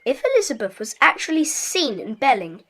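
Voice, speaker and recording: A high, very lively child's voice for an audiobook drama. He is an earnest schoolboy club leader, brisk and serious, giving orders to his friends. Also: heavily acted.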